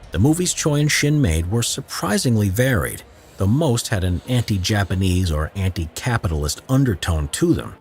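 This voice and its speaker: A man narrating a history documentary in a measured, expressive, scripted storytelling style. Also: on the low side, a little hiss or hum. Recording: narration with faint music bed.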